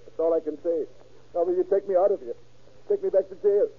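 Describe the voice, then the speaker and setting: Casting: cornered killer, jittery and pleading, husky voice, vintage radio crime serial, narrow tinny sound. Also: male voice, sits high, steady tone. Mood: desperate, pleading. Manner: halting, stammering, pleading. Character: cornered male murder suspect, guilt-ridden and jittery. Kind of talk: 1930s radio crime drama acting. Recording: muffled, tinny old-time radio recording.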